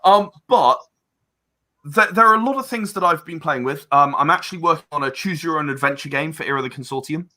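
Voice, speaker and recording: A man being interviewed in a casual chat, speaking earnestly and often haltingly, repeating words as he gathers his thoughts. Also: on the high side, bright, forceful tone. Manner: earnest about creative work. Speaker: interview guest, game and audio drama creator.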